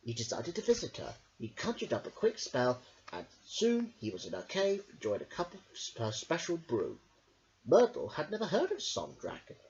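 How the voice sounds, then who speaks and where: A woman reading a children's story aloud in a theatrical, expressive way. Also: lowish voice, moderate pace, creaky. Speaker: story reader. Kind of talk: dramatic children's storybook narration. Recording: dull lo-fi home recording.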